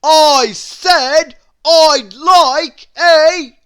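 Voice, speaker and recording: A woman voicing a very noisy cartoon man who bellows his words in a slow, booming shout. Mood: loud, demanding.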